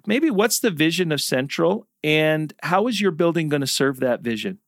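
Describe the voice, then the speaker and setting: A man hosting a church podcast, upbeat in his intro and halting with fillers when he asks questions. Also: on the high side, lively intonation. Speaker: podcast host. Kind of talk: scripted intro then casual interview.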